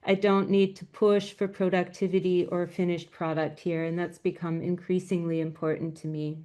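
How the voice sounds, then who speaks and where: Soft-spoken woman presenting over a video call. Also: fairly low pitch, level tone, moderate pace. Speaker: guest speaker, graphic novelist.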